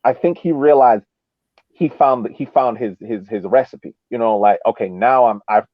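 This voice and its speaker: A man's voice: medium pitch, moderate pace, no room sound. Man on a thin phone-like line. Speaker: soccer podcast panelist. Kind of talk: casual sports discussion. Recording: phone-like, band-limited call audio.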